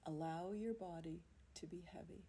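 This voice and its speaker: A woman leading a guided yoga nidra meditation in a soothing, unhurried manner, leaving long pauses between phrases. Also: on the low side, warm tone. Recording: amateur recording with faint background noise.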